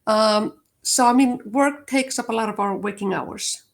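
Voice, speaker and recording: An older woman with an Icelandic accent speaks in a fairly high voice. She talks reflectively and casually, with many small pauses and fillers. She laughs lightly between her thoughts. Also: creaky. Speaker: guest, HR leader. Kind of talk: casual interview answer.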